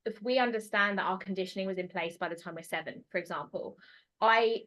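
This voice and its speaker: An expressive British woman chatting as a podcast guest, her voice sitting at a middle pitch. She talks with animated energy and quick, bright bursts of speech. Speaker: podcast guest, transformation mentor. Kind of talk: casual podcast conversation.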